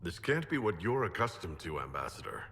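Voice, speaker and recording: An actor playing an earnest, polite young nobleman mage in a video game, speaking in a measured, sincere way. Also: deep voice, sing-song, a bit bright, breathy edge, full character voice. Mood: measured, firm. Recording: long reverb of a hall.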